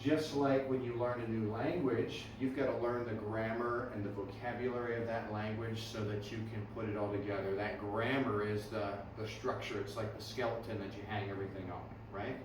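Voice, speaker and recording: A man's voice: on the low side, fairly even intonation, a little fast, few pauses. A man teaching a music theory workshop to students, often quizzing them and checking in with "right?" and "okay". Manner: friendly questioning of students, frequent "right?" and "okay". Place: music classroom. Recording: echoey room, distant mic, background noise.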